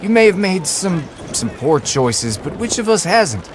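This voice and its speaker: An actor playing a humble, kindly monk in a video game cutscene, earnest, courteous and a little hesitant. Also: male voice, high-pitched, sing-song, a little slow. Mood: kindly, persuasive. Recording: noisy street ambience behind dialogue.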